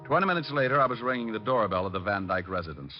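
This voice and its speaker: An actor playing a breezy, wisecracking private detective in 1940s radio style, flippant and deadpan. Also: male voice, lowish voice, very fast, lightly acted. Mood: wry narrating. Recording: muffled old radio recording with music.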